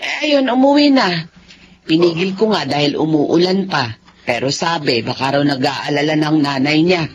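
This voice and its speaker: Animated, fussing Filipino older sister. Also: female voice, low voice, normal speed, lo-fi sound, noisy background. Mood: fussing, scolding. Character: caring, scolding older sister, middle-aged woman. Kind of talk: Filipino radio drama acting.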